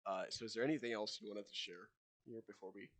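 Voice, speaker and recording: A man's voice: lowish voice, steady tone, fast talker, fairly bright tone. Rambling young man chatting about books. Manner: rambling, filler-heavy, laughs often. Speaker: podcast co-host. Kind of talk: casual book discussion.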